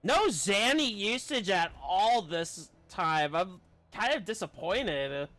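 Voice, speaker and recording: A man's voice: sits high, animated, slow, sharp tone, dry recording, soft background music. A man giving casual, offhand live commentary as he streams a game.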